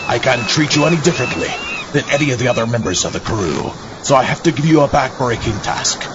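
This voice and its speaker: Sly, swooping captain. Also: male voice, medium pitch, wildly swooping pitch, fast. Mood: bossy, sly. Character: sly, scurvy old pirate captain, gleefully lying. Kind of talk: comic audio drama. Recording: dull lo-fi audio with clear noise.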